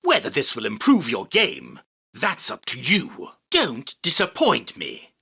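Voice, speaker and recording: A man's voice: medium pitch, wildly swooping pitch, a little slow, creaky, bright, forceful tone, husky, heavily acted. A male voice actor playing an arrogant, grandiose self-proclaimed king of card duelists, with a pompous, commanding, theatrical delivery for a video game. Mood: haughty, proud. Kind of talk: video game character battle lines.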